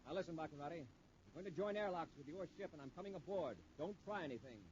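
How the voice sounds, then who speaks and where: An actor playing a bold, commanding space patrol commander in a 1950s radio serial, with clipped authority, on a noisy muffled old recording. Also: male voice, a little high, animated, fast talker, darkish tone, lightly acted. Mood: stern, forceful.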